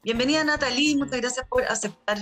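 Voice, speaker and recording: A woman's voice: sits high, fast, fairly bright tone, soft background music. A woman hosting a podcast interview, asking questions in a friendly way with some filler hesitations. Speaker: podcast host.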